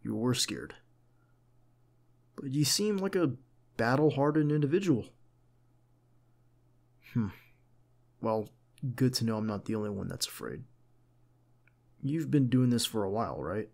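A man playing a protective, caring bodyguard in an ASMR roleplay, talking conversationally and with gentle insistence to his boss. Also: lowish voice, gravelly, exaggerated voice. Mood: earnest, concerned. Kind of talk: ASMR roleplay acting, one side of a dialogue.

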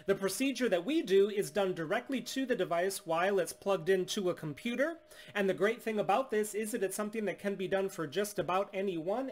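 A man in his thirties from the United States, a steady, salesy business owner explaining a tablet unlock to camera in a small room. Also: high-pitched. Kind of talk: scripted product demonstration. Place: small indoor room at home.

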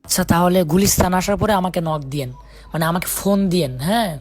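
Very fast-talking, insistent Bangladeshi speaker. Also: medium pitch, a little mellow. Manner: insistent, repeatedly checking the listener understands. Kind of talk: casual phone conversation.

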